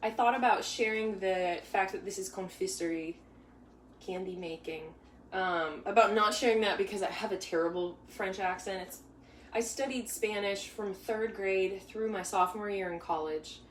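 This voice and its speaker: A woman's voice: medium pitch, normal speed. Chatty woman baker rambling in an echoey kitchen. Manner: chatty, rambling, with many restarts and asides. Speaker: pastry shop baker hosting a live bake-along. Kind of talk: casual live cooking demo. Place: home kitchen. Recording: echoey kitchen, mic far off, faint hum.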